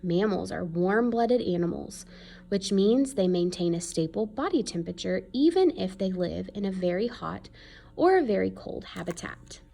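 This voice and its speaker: A woman's voice: medium pitch, animated, normal speed, gravelly, dark tone. A woman reading an educational children's book aloud in an explanatory way, stressing key words. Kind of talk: read-aloud educational narration.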